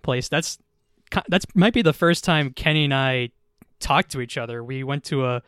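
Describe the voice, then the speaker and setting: A young man in casual chat, soft-spoken and trailing off. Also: mid-range voice, normal speed, clear, resonant tone, dry recording, studio quality.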